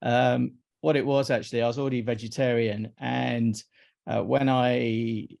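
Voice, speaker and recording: A man in his fifties, originally from Iraq, chats as an interview guest. His delivery is fairly flat, with little rise and fall in pitch, and he stumbles through his sentences, repeating words and starting over. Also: a little slow, vocal fry. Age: 50s. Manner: hesitant, repeats words and restarts often. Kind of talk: casual interview chat.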